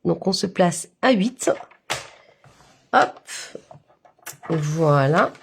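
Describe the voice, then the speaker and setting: A woman's voice: fairly low pitch, expressive, very slow, creaky, a little hiss or hum. A woman with a head cold, talking nasally as she walks viewers through a papercraft project step by step, with many fillers. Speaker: papercraft instructor. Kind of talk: live-stream craft tutorial.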